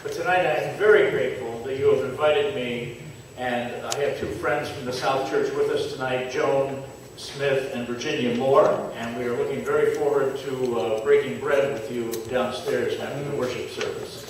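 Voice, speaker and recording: A man from the United States, a pastor, giving friendly and unhurried remarks at a church anniversary. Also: mid-range voice, steady tone. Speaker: visiting pastor. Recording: echoey room from far off with noise.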